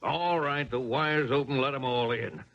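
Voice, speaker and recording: An actor playing a gruff, bossy middle-aged father and manager, brusque and streetwise, in a 1970s radio drama. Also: mid-range voice, moderate pace, background noise, close to an everyday voice. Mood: exasperated, scornful. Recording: muffled old radio broadcast.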